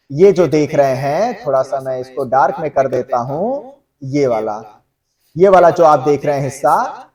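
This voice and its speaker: A male coaching teacher with a high-pitched voice, picked up at some distance from the microphone. He explains in a conversational lecture style, addressing students directly and repeating little check phrases as he goes. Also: a little mellow. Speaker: exam-coaching geography teacher.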